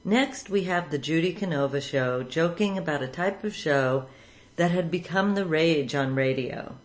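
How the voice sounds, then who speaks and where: A woman's voice: sits low, normal speed. A woman hosting a radio programme, reading a scripted introduction. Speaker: radio show host.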